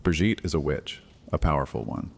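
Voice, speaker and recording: An actor playing a sly, sardonic dark-fantasy acolyte in a horror audio drama, mocking and theatrical. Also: male voice, very deep voice, a little fast, creaky, husky, exaggerated voice. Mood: low, grave. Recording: long hall reverb.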